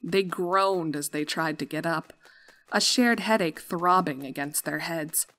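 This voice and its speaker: A woman's voice: deep voice, unhurried, sharp tone. A woman reading scripted fan-fiction story narration as the narrator. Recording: music under the voice.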